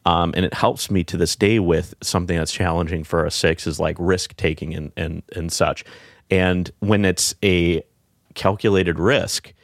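Man, middle-aged, deep voice, very low pitch, halting and reflective, dry close sound. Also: fairly even intonation, no room sound. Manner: reflective, halting, many fillers and restarts. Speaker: podcast guest. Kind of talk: casual heartfelt conversation.

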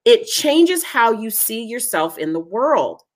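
A woman's voice: on the high side, big pitch swings, slow pace. A woman guest from a genealogy foundation, speaking earnestly in an interview and repeating her key points for emphasis. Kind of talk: conversational interview.

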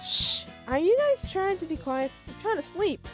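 A woman's voice: high voice, animated, moderate pace, a bit bright, soft background music, pitched above the performer's own voice. A young female storyteller voicing a hungry, sleepy schoolgirl who is bossy, blunt and complains emphatically. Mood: annoyed.

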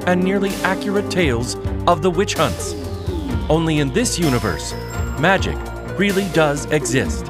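A man's voice: a little high, big pitch swings, normal speed. An actor playing an over-eager, theatrical narrator of witch tales in an audio drama. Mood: grand, ominous. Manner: grandly dramatic storyteller, turning awkward. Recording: music underneath.

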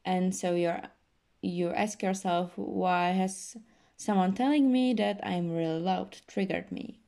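Slow, gravelly-voiced young woman. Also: on the low side, steady tone. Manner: slow, soft-spoken, many fillers and restarts. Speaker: podcast host. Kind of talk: casual self-help talk.

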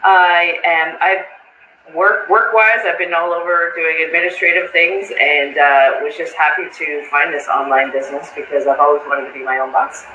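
A woman's voice: low voice, steady tone, moderate pace, bright, forceful tone, slight background noise. A woman in her forties from Ontario, Canada, a nervous guest on a live stream who laughs and restarts her sentences. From Niagara region, Ontario, Canada. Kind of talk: casual chat on a live stream. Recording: echoey room, dull lo-fi sound.

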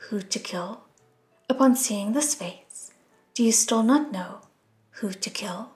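A woman voicing an icy, menacing male demon who speaks softly and quietly. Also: a little high, slow pace, soft background music. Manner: soft cold whisper.

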